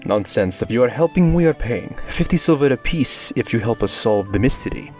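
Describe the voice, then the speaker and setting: A man playing a roguish, courteous young orc guard captain in a fantasy audio drama, smooth and confident. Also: mid-range voice, lively intonation, brisk, a little mellow, faint music behind, lightly acted.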